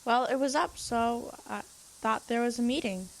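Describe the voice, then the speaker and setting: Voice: medium pitch, normal speed, gravelly, close to an everyday voice. A young performer playing a laid-back, contrary kid in an audio drama. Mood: casual.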